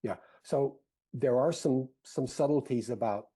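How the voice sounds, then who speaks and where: A man answering as an interview guest, halting and searching for his words in conversation. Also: medium pitch, dark tone, slightly rough.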